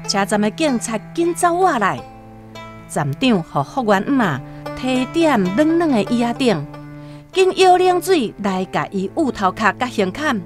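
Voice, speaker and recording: A woman with a Taiwanese accent narrating a literary radio drama as an expressive storyteller. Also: fairly high pitch, measured pace, soft background music.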